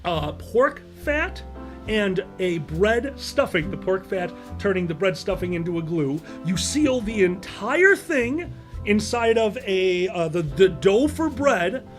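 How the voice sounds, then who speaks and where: A man's voice: high-pitched, very animated, slow, faint music behind. A man hosting a comedy podcast, telling stories in casual banter with emphatic, profane delivery.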